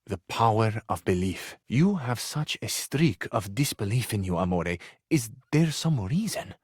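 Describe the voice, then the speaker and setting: A man's voice: on the low side, big pitch swings, measured pace, breathy edge, no echo, clean studio sound, heavily acted. A man voicing a flamboyant, hopelessly romantic Italian charmer in a video game, with an Italian accent and a florid, amorous delivery that savors each phrase. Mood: adoring, earnest. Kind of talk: theatrical video game character acting.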